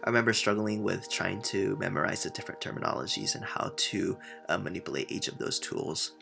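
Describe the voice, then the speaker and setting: A man's voice: low-pitched, brisk, vocal fry, music in the background. A young man from Japan whose first language is Japanese, a university student answering interview questions in an earnest way with many filler sounds. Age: young adult.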